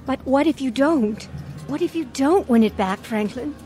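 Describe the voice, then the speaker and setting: An actress playing a timid, anxious wife in a radio drama, deferential and gently pleading. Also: female voice, high voice, moderate pace, soft background music, natural voice. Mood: worried, coaxing.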